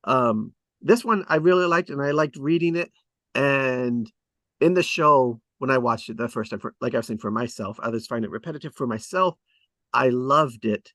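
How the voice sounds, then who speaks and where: A man's voice: mid-range voice, moderate pace, a bit bright, no room sound. Guy hosting a script read, rambling casually, midrange voice, really dry recording. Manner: hesitant, thinking aloud, conversational. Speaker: host of an online script table read. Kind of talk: casual rambling discussion of screenwriting.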